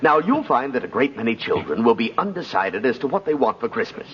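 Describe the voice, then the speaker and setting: An actor playing a fussy, officious middle-aged toy department manager in a 1950s radio drama, with a husky voice and hesitant manner. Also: male voice, mid-range voice, very animated, fairly quick, a little hiss or hum, natural voice. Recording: dull old radio recording.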